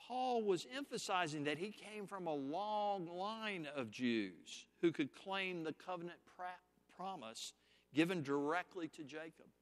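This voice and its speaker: A man preaching a sermon, teaching scripture point by point in a slow, deliberate, emphatic way. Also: high voice, fairly bright tone, in a large hall.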